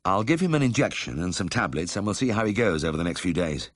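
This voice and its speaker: A man's voice: sits low, sing-song, fast, no echo, clean studio sound. A man voicing a kindly, careful country vet who explains things politely in a story reading. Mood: careful, explanatory. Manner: courteous, explanatory.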